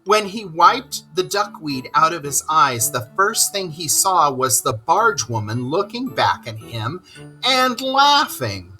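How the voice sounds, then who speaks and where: A man reading a children's story aloud with expressive, dramatic, deliberate narration. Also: a little high, faint music behind, low-quality recording.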